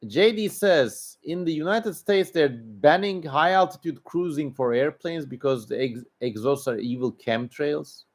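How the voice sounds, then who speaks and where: A man's voice: on the high side, animated, measured pace, hardly any pauses. A man with a Turkish accent hosting a casual livestream, answering viewers in an opinionated, emphatic, rambling way. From Turkey. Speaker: livestream host.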